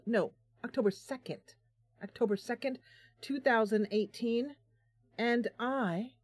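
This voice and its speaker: A woman's voice: fairly high pitch, darkish tone, no room sound, studio quality. A woman hosting a live stream, chatting casually with viewers in a bubbly, talkative way. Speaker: live stream host, gamer.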